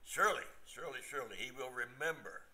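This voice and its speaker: An older man playing a scheming, hopeful nephew in a staged reading, halting and deliberate. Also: medium pitch, animated, pushed and bright. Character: scheming, hopeful nephew John, gossiping about uncle.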